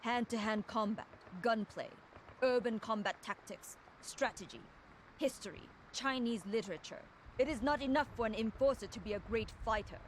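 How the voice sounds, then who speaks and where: A woman's voice: on the high side, moderate pace, slight background noise, lightly acted, rough recording. An actress playing a proud, fierce young woman enforcer, delivering a solemn, controlled dramatic monologue for a video game audio log. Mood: resolute.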